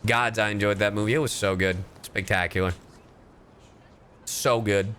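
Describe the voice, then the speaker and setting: A man livestreaming to his viewers, chatting in an expressive, theatrical, sweary way. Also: on the low side, normal speed, slight background noise. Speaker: streamer, voice actor and cover singer. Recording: music behind. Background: music.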